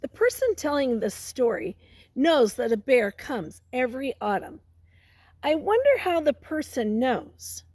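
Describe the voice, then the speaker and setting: A woman's voice: high-pitched, big pitch swings, a little mellow, no echo, clean studio sound. A woman teacher reading a picture book aloud to young children, stopping to ask them questions and prompt them. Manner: pauses to question and prompt listeners.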